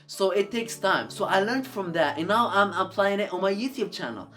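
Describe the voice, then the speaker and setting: A man's voice: high-pitched, lively intonation, moderate pace, pushed and bright, soft background music. A man whose first language is Arabic, giving earnest motivational advice to camera in a YouTube video, often repeating and restarting his words.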